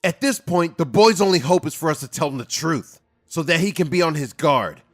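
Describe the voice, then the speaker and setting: A man playing a hot-headed, impulsive fairy guardian in a fan audio drama, arguing forcefully and emphatically. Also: on the high side, lively intonation, a little fast, soft background music. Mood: heated, pleading.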